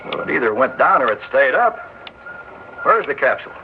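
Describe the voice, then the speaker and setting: An actor playing a commanding army general who barks orders in an old radio drama. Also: male voice, medium pitch, very animated, a little fast. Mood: alarmed. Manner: barking orders, brusque. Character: commanding male army general, gruff and blustering. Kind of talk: 1960s radio drama acting. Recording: tinny old radio broadcast with hiss.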